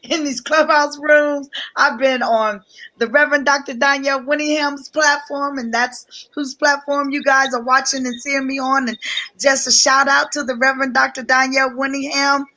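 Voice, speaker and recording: A woman with a high, bright voice that has gone a little hoarse. She talks with lively excitement on a live stream, greeting viewers one after another and reading out their comments. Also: normal speed. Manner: energetic, repeats greetings, reads out viewer comments. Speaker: guest business coach. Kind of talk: live-stream promotional talk.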